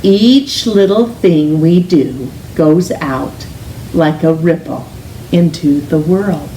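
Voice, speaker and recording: Perempuan pembaca cerita anak, tempo lambat, ruangan bergema. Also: female voice, low voice. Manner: deliberate, gentle storytelling with drawn-out emphasis. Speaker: storytime reader, teacher. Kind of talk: expressive children's picture book read-aloud. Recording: roomy, distant mic with faint hiss.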